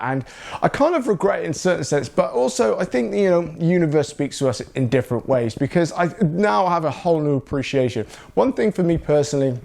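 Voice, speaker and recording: A man's voice: medium pitch, a little mellow. A man from South England, talking casually to camera as a YouTuber, rambling along with lots of fillers. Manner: rambling, with many fillers like "you know" and "that lot". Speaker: YouTuber and videographer.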